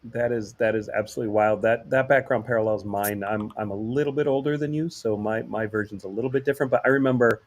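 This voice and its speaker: A man's voice: lowish voice, fairly even intonation, quick pace, hardly any pauses. A man co-hosting a podcast, sounding nasal while getting over the flu, chatting and joking in a rambling, casual way. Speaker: podcast co-host. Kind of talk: casual chat.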